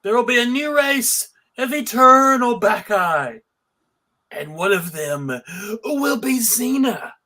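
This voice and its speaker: Man acting a high, grandiose villain god. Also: expressive, slow pace, pushed and bright, exaggerated voice. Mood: gloating menace. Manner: theatrical, declaiming. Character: grandiose villainous male wine god, part satyr. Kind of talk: live table read of TV script.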